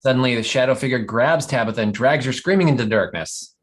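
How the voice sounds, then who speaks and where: A man's voice: medium pitch, fast talker. Man reading stage directions, amused. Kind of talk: scripted narration at an animated comedy table read.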